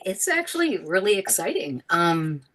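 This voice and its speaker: Raspy-voiced sick woman, lively pitch. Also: normal speed. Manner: chatty, laughs often. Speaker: caller. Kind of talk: casual chat.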